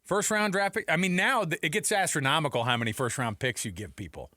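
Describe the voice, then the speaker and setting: A man's voice: on the high side, fast, sharp tone, dry recording, clean studio sound. A man hosting a sports talk radio show, bantering casually in an informal, playful way. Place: radio studio. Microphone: close studio mic.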